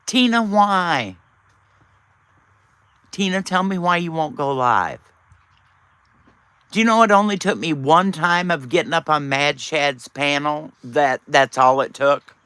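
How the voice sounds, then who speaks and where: Raspy man chatting on a live stream. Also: fairly high pitch, lively intonation, slow, pauses often, sharp tone, no room sound. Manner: teasing, chatty, reads out and answers viewers. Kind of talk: casual live-stream chat with viewers.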